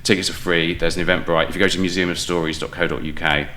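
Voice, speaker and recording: Deep, bright-voiced British man telling stories. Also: flat delivery, fast talker, a little hiss or hum. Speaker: guest playwright and audio drama maker. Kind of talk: casual enthusiastic interview storytelling.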